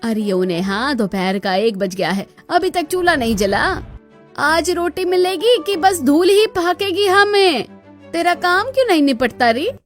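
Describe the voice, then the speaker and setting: A woman's voice: high voice, sing-song, a little slow, faint music behind. A woman voicing a nagging, impatient older village mother-in-law in a cartoon, scolding and sarcastic. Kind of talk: Hindi cartoon dialogue.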